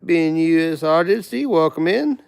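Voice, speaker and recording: A man's voice: on the high side, animated, normal speed, vocal fry, no echo. A man, co-hosting a livestream, greeting viewers cheerfully and teasing his partner. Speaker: livestream co-host. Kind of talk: casual livestream chat.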